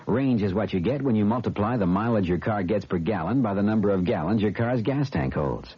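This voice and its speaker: A man's voice: fairly low pitch, lively intonation, fairly quick, husky. A man reading a scripted 1970s radio commercial in a polished, explanatory way. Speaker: commercial announcer. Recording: dull vintage radio recording.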